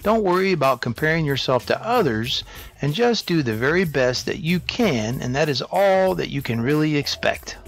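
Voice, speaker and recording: A man reading scripted motivational narration in a measured, instructive way. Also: a little high. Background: background music.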